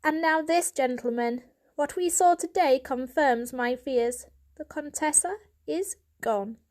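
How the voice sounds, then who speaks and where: A woman's voice: high voice, a little slow, darkish tone, voice pushed up. Woman voicing a grave, worried old male cat. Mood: grave, foreboding. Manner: grave, pleading, insistent. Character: worried elderly male cat, patriarch of the family.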